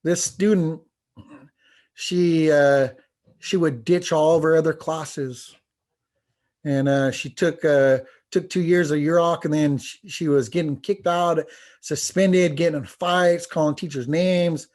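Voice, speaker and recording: A man with a somewhat high voice gives a panel presentation as a language teacher. His delivery is earnest and informal, with a halting flow as he works through his points. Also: fairly even intonation, darkish tone. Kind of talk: presentation with testimonials read aloud.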